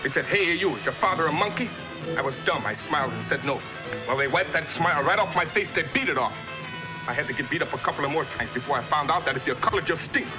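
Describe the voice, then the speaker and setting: A man acting as an agitated, bitter young man delivers an emphatic film monologue. His voice runs a little high and moves quickly, through a muffled old soundtrack. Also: expressive, over music, close to an everyday voice.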